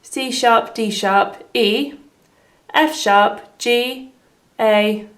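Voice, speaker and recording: A woman with a slightly hoarse voice giving step-by-step piano instruction as the host of a tutorial. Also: on the high side, very slow, fairly bright tone. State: slightly hoarse from having been unwell. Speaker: piano teacher and video host. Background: piano playing.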